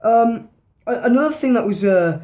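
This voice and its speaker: A woman talks through a horror film in a loose, chatty review, her voice hoarse and worn down. She rambles, restarts her sentences and drops swear words without a second thought. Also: fairly high pitch, expressive, moderate pace, mellow. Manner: rambling, swears freely, repeats herself. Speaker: movie reviewer. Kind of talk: casual movie review vlog.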